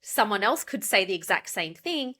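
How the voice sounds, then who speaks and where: An Australian woman, a pronunciation coach, explaining clearly and steadily as a podcast guest. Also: mid-range voice, sing-song, sharp tone. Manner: explanatory, measured. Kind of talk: podcast interview.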